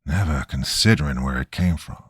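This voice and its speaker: A man voicing a sinister stranger with a raspy, half-whispered wheeze, talking slowly and threateningly. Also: very deep voice, fairly bright tone, no room sound, studio quality, pitched below the performer's own voice, exaggerated voice. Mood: menacing. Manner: slow, sly and threatening.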